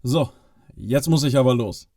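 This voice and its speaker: Male actor as vain gift-giving schemer, mellow, close dry sound, boastful, Christmas radio play. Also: medium pitch, measured pace, no room sound. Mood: gleeful. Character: vain, sly cousin of Santa, eager to play gift-giver. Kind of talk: family Christmas audio play acting.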